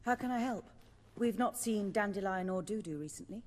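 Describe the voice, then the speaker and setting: An actress playing a poised, shrewd theatre troupe leader in a video game, speaking in a measured, formal way. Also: female voice, medium pitch, a little mellow, big-room sound, slight background noise, lightly acted. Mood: courteous, curious. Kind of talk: video game dialogue acting.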